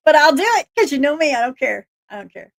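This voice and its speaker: A somewhat high-pitched woman hosts a casual livestream, reading flyers and chatting with viewers. Her voice is a little hoarse and she laughs easily, stumbling and restarting words. The sound is dry and close, with no room echo. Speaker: livestream host reading missing persons flyers.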